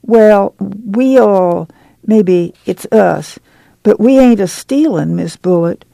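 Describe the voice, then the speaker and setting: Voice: medium pitch, measured pace, creaky, warm tone, no echo, voice pushed up. A woman voicing a meek, flustered, poor rural mother with a whining, apologetic, folksy way of speaking in a story. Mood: whining, timid. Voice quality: whining, bleating.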